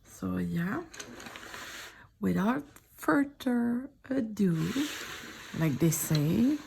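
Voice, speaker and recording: A middle-aged woman with a French accent, speaking French as her first language, chats softly, often in a whisper, while showing her sketchbook drawings at home. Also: mid-range voice, expressive, very slow, creaky, slight background noise. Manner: soft-spoken, often whispering. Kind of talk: casual chat.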